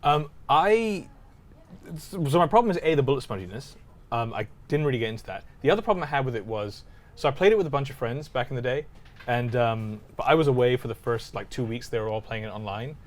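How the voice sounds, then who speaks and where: Man, mid-pitched voice, very quick pace, teasing, casual gaming podcast banter. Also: lively intonation. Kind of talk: casual chat about video games.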